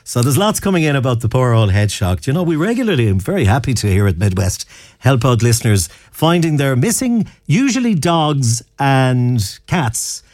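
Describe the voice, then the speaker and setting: An Irish man presents local radio in a chatty, conversational way. His pitch moves around in a lively way at a middling height, and the sound is dry and close. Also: moderate pace, no room sound.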